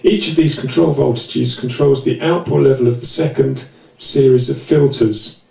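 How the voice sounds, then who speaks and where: A man's voice: mid-range voice, fairly even intonation, normal speed, gravelly, darkish tone. A man presenting an informal, explanatory music gear tutorial with hesitant, self-deprecating asides. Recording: roomy, echoey, distant mic.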